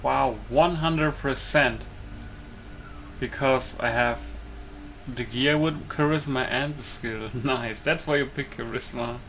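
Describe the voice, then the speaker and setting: A man's voice: medium pitch, takes it slowly, fairly bright tone, rough recording. A man giving casual let's play commentary as a gaming YouTuber. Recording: faint game noise behind.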